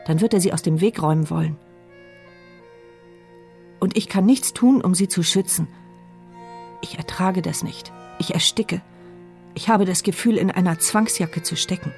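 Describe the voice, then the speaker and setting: A woman's voice: on the low side, moderate pace, pauses often, darkish tone, soft background music, lightly acted. An actress playing a paralysed, mute woman who narrates her sardonic, bitter thoughts in a radio play. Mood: anguished. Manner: sardonic, biting inner voice. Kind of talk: radio play inner monologue.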